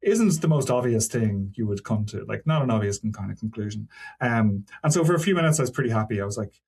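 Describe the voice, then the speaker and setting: A man's voice: on the low side, lively intonation, quick pace, a little mellow, husky. A man speaking as a podcast guest and senior engineer, explaining technical work in an informal, discursive way with frequent asides. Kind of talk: casual technical interview talk.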